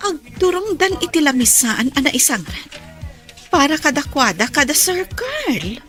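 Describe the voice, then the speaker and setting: A woman's voice: sits high, very animated, measured pace, fairly bright tone, soft background music, exaggerated voice. A woman playing a nosy, gossiping young woman at an event in a radio drama. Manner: gossipy and curious.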